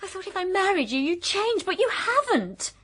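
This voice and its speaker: An English actress playing a frustrated wife who speaks bluntly and with exasperation in a radio drama. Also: female voice, very high voice, big pitch swings, normal speed, fairly bright tone, heavily acted. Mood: blunt, upset. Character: frustrated wife leaving her husband.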